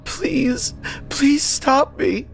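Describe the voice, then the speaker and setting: A man's voice: mid-range voice, normal speed, a little hiss or hum, close to an everyday voice. A man voicing an unhinged serial killer, pleading and taunting in a storytelling narration. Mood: sobbing, desperate. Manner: sobbing, pleading, then taunting. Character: unhinged male serial killer, sobbing then menacing.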